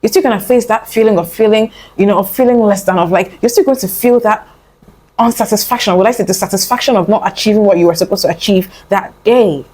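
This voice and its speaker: A woman's voice: medium pitch, fast talker, darkish tone. A young adult woman vlogging a casual motivational talk, earnest and coaxing, rambling with many fillers and restarts as she pleads with her listener. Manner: earnest, coaxing, calls the listener "my love" and "honey".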